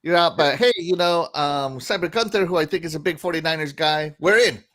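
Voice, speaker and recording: A man hosting a casual gaming podcast, upbeat and quick to laugh, with lots of fillers as he reads out the chat. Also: on the high side, a bit bright. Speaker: podcast host reading chat.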